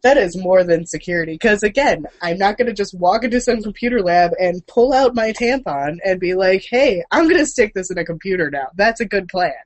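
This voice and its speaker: A woman's voice: medium pitch, animated, a little fast, hardly any pauses, no echo. A woman co-hosting a casual news chat, with an irreverent, joking and sweary way of talking. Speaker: show host.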